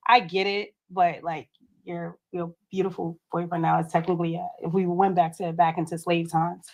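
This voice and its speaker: A woman from Guyana speaks earnestly on a discussion panel in a somewhat low voice. She explains her view in a steady, careful way. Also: moderate pace, rough recording. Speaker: panel guest.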